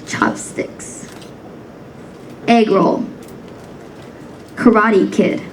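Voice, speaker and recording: A woman's voice: mid-range voice, extremely slow, distant mic, a little hiss or hum, poor audio. A young woman from the United States performing a spoken word poem in a rhythmic, rhyming cadence, emphatic and defiant. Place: banquet hall stage.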